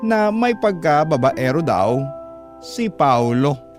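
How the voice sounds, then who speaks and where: A man's voice: fairly high pitch, sing-song, takes it slowly. A man with a Filipino accent narrating a first-person letter as a radio storyteller. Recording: prominent background music under narration.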